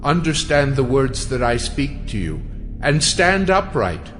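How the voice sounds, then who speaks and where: A man playing a commanding yet reassuring heavenly messenger, solemn and deliberate, in an audio Bible drama. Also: mid-range voice, big pitch swings, a bit bright. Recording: long hall reverb, faint music.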